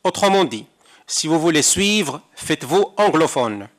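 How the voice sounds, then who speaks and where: A man's voice: fairly high pitch, very animated, unhurried. An older man from Morocco, a conference interpreter, reading a prepared talk at a seminar with wry, rhetorical asides. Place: seminar hall.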